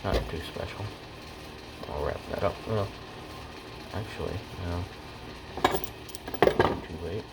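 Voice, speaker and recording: A man's voice: deep voice, slow pace, vocal fry, pauses often, heavy background noise. A man giving a casual hands-on how-to as an amateur hobbyist, muttering to himself in short fragments as he works. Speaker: hobbyist demonstrating electronics repair.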